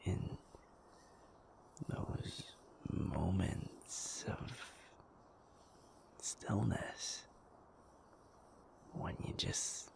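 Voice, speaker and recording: A man playing a gentle, patient werewolf in an intimate audio roleplay, soothing and reassuring in a halting, breathy, creaky whisper. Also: low voice, noisy background, heavily acted. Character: gentle, patient male werewolf comforting a sleepless partner.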